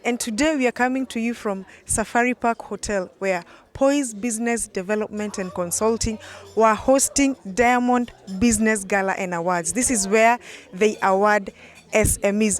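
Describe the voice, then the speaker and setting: A woman with a Kenyan accent presenting and interviewing as the host of an online news channel. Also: mid-range voice.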